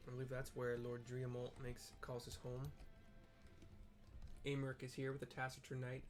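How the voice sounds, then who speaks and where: A man narrating a fantasy game's story for listeners, with chatty, excitable asides between the lines. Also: mid-range voice. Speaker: game streamer narrating story quests. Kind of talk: casual commentary and read-aloud narration.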